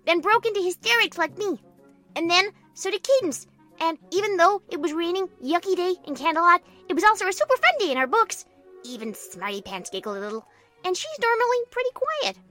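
A woman voicing a giggly, excitable little girl who tells a storybook tale of her play with childlike glee. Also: very high-pitched, fairly quick, faint music behind, voice pushed up, full character voice. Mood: gleeful, fond. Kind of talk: storybook narration in character.

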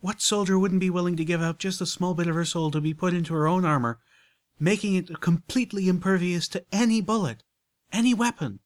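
A man's voice: mid-range voice, animated, a little fast, no echo, voice pushed up, natural voice. A man voicing an elegant, prim unicorn mare who talks with genteel enthusiasm. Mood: enthusiastic.

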